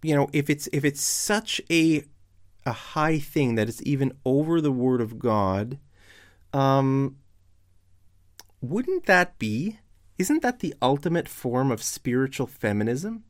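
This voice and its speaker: A man with a hoarse, failing voice giving casual online Bible commentary, posing pointed questions to his audience. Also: mid-range voice, lively intonation. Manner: slow and deliberate. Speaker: religious video commentator.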